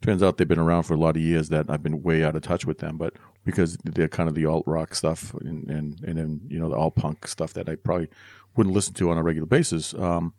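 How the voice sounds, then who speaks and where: Deep-voiced dad co-hosting a podcast. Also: male voice, level tone, fast talker, hardly any pauses, dark tone, dry recording, clean studio sound. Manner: chatty, rambling with restarts, corny dad jokes. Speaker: podcast co-host. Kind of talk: casual podcast chat.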